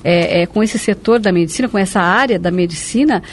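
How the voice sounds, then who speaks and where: A man's voice: high-pitched, fairly quick, a little hiss or hum. A man with a Brazilian Portuguese accent interviewing on the radio in a chatty, conversational way, echoing and confirming what is said. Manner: chatty, echoes and confirms the guest's words.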